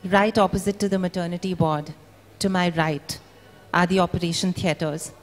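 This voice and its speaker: A woman's voice: lowish voice, fairly even intonation, normal speed. A woman giving a dramatic literary reading aloud at a live book event. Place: large indoor event venue. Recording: echoey hall.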